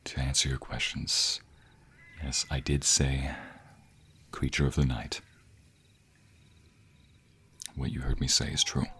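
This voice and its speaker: Very low-pitched vampire lover played by a man, protective and secretive, hushed earnest delivery for romantic audio drama, over noisy outdoor ambience. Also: full character voice. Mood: grave confession. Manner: earnest, hesitant, pleading, often breathy and hushed. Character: devoted young male vampire boyfriend, secretive, protective. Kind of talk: intimate romantic audio roleplay.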